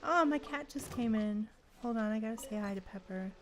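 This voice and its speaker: A woman livestreamer chatting casually in a slangy, animated way while gaming. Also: on the high side, a little fast, slight background noise, poor audio. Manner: chatty, animated retellings with frequent slangy exclamations.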